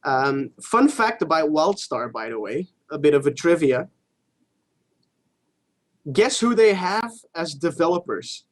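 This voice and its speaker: A man's voice: on the high side, sing-song, measured pace, long pauses. A man co-hosting a gaming show, chatting casually and giving blunt opinions with some casual swearing.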